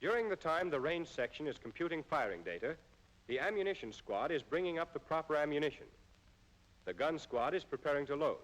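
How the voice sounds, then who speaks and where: An American man reading scripted instructional narration in a formal, measured way, as in an old military training film. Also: fairly high pitch, very animated, fairly bright tone, rough recording.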